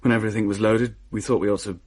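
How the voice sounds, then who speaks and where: A man's voice: lowish voice, level tone, racing, darkish tone, lightly acted. An actor playing a shaken man who recounts a horrifying haunting in a dramatic radio play. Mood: grim, subdued. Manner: hesitant, haunted, dramatic storytelling. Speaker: narrator and character in radio play.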